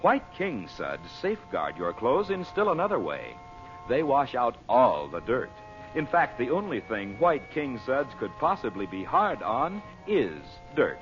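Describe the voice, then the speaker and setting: A man's voice: mid-range voice, wildly swooping pitch, measured pace, fairly bright tone, muffled recording. A man announcing an old radio serial in a scripted 1940s broadcast style. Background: music.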